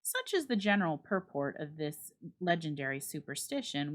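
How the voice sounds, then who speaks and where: Low-voiced woman reading a classic tale. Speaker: narrator reading a classic short story aloud. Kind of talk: dramatic literary reading, scripted narration.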